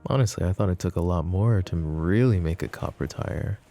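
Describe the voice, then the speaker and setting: Man playing a deep, gravelly, wry mafia boss. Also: soft background music. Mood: wry, musing. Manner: sardonic, soft-spoken, slow pauses, swearing and sighs. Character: flirty, possessive, wry male mafia boss. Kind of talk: intimate ASMR roleplay spoken to the listener.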